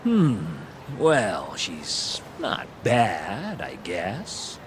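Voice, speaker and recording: Curt club doorman, swinging pitch. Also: wildly swooping pitch, very slow, noisy background, full character voice. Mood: grudging, smug. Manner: curt, dismissive. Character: brusque, dismissive club doorman guarding exclusive hostess club. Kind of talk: English video game cutscene acting.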